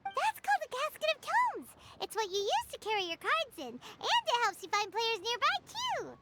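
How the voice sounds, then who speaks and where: Squeaky, chirpy little fairy companion. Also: female voice, wildly swooping pitch, normal speed, very noisy, full character voice. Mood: excited, cheerful. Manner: perky, talks of self in third person. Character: small childlike floating fairy companion, chirpy and excitable. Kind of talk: anime game voice acting. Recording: music behind the voice.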